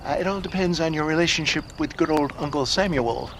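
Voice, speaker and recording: A man's voice: fairly high pitch, fairly quick, fairly bright tone, a little hiss or hum. An actor playing a flamboyant, wily old huckster salesman in an Old West video game, florid and hammy in his delivery. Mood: evasive, jovial. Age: older. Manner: florid, hammy, hesitant with frequent chuckles. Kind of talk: theatrical video game character acting.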